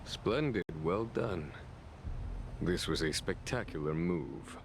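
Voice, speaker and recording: An actor playing a composed, shrewd male general in a game, speaking in a measured, wry and commanding way. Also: deep voice, animated, vocal fry, noisy background, full character voice. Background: game music.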